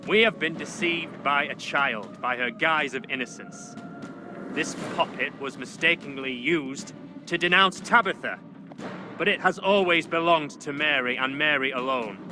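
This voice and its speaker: A stern judge from a witch-trial video game, played by a man, his voice a little high for a man. He speaks in formal, old-fashioned language with full authority. Music runs clearly behind him. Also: a little slow, close to an everyday voice. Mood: grave, accusing. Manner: formal, archaic, commanding courtroom speech. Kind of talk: dramatic video game acting.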